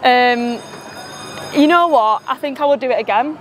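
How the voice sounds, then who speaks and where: A young woman vlogging at an outdoor theme park, chatting and rambling with frequent laughs, her voice going hoarse from talking. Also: high-pitched, normal speed, slight background noise. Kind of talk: casual vlog chat to camera. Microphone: clip-on lapel mic.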